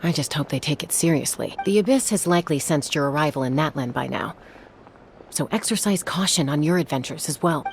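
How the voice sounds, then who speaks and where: A woman's voice: sits low, animated, fairly quick, slight background noise. An actress voicing a cool, caring female warrior in a fantasy game, with a laid-back, sober delivery. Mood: sober, warm.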